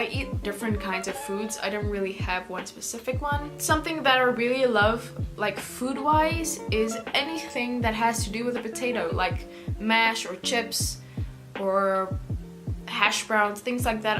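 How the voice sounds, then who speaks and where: A teenage South African girl, an Afrikaans speaker, answering viewer questions in a chatty, rambling way with lots of "like". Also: medium pitch. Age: teens. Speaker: YouTuber. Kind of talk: casual Q&A vlog.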